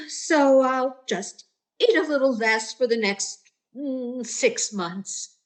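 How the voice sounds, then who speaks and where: A woman's voice: high-pitched, slow. A woman playing a motherly older landlady in a radio sitcom, wheedling and guilt-tripping with martyred self-effacement. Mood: coyly martyred.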